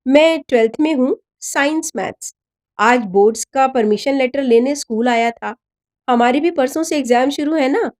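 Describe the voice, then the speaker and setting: Woman playing a streetwise senior schoolboy, high voice, blunt, protective, brotherly, storybook. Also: normal speed, darkish tone, natural voice. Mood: friendly.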